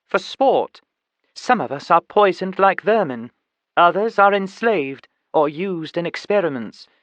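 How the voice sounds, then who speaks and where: A man's voice: high-pitched, a bit bright, no room sound, dull audio, pitched above the performer's own voice. A man voicing a huge female chimpanzee, an articulate, earnest activist with an educated accent, in an audiobook. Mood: indignant lecturing. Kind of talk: audiobook character voice.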